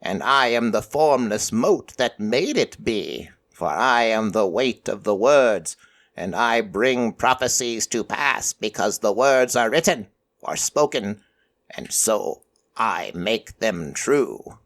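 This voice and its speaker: A man voicing an ancient, formless deity in raven form for audio fiction, solemn and measured, with formal archaic phrasing. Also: lowish voice, animated, a bit bright, heavily acted. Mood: solemn, grave. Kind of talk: audio fiction character acting.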